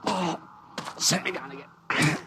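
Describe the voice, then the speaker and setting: An actor playing a game, plain-spoken mountain climber in a 1950s radio drama. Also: male voice, a little high, takes it slowly. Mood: pained, rueful. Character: rugged, game, easygoing male mountain climber. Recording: noisy old 1950s radio recording.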